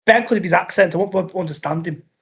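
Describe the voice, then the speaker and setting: Two to four sentences: A young man with a Scouse-tinged Northern English accent co-hosts a football podcast. His voice sits a little high and he talks in a bouncy, excitable, chatty way, full of quick banter. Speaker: podcast co-host. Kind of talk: casual football chat.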